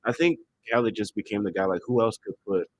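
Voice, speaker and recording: A man's voice: lowish voice, fast, slight background noise. A man talking casually as a guest on a music podcast, recorded in a studio. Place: indoor studio.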